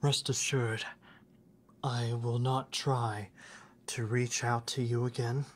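An actor playing a reserved, lovesick young classmate in an ASMR roleplay, speaking in a soft, hesitant, formal way, often near a whisper. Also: medium pitch, level tone, slow, a little hiss or hum, natural voice. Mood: resigned, restrained.

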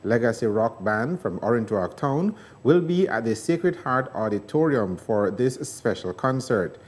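A middle-aged man reading the news in a measured, formal way, as anchor and interviewer. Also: medium pitch, expressive.

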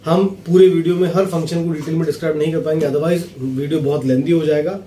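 A man's voice: a little high, steady tone, fast, mellow. A man, giving a casual, explanatory product demonstration and slipping in English phrases. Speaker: product reviewer and seller. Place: small indoor office. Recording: roomy echo, faint background noise.

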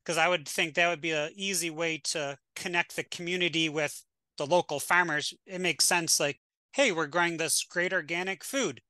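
Chatty bright-voiced woman podcast host. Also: fairly low pitch, steady tone, moderate pace, no room sound, clean studio sound. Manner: chatty, lots of "like", playful. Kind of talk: casual conversational interview.

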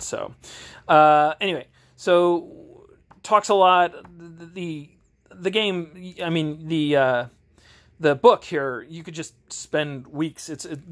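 A man reviewing tabletop games in a casual, off-the-cuff way, halting and often interrupting himself as he rambles along. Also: fairly high pitch, fairly bright tone. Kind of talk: casual off-the-cuff product walkthrough. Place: home game garage, indoors. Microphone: handheld camera, held by the speaker.

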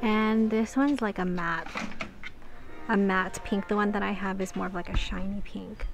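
A woman's voice: medium pitch, fairly even intonation, moderate pace, creaky, music in the background. A woman doing a casual shopping vlog, chatting and thinking aloud as she browses, recorded out in noisy public stores. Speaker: shopping vlogger. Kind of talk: casual shop-with-me vlog chat. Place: inside busy stores and a mall. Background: shop and mall background noise.

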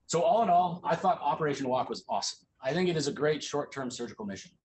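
Fast-talking man giving a medical lecture. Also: a little high, lively intonation, a little mellow, a little hiss or hum, poor audio. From United States. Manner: quick, casual lecturing with frequent ums and uhs. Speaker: orthopedic surgery resident presenting at grand rounds. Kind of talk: academic slide presentation.

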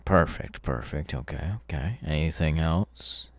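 A man's voice: a bass voice, a little slow, no room sound. A man playing a smug, sadistic masked killer in an ASMR audio roleplay, taunting in a menacingly casual, mocking way. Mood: mockingly polite. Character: sadistic masked young male killer, smug, gleeful cult henchman.

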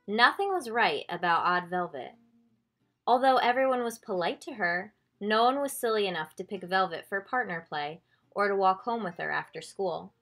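A woman reading a children's picture book aloud expressively, drawing out key words with deliberate emphasis. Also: mid-range voice, expressive, fairly bright tone, faint music behind. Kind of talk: expressive storybook read-aloud.

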